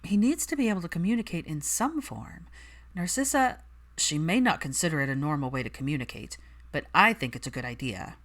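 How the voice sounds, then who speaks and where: A woman's voice: low voice, lively intonation, a little fast, a bit bright, exaggerated voice. A woman voicing an earnest, strong-willed young witch with a firm, argumentative manner in audiobook dialogue.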